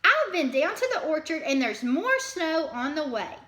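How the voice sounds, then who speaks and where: A woman voicing an excitable, self-important young male fox in a storybook, exclaiming in breathless, urgent bursts. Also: sits high, moderate pace, echoey room. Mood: breathless alarm.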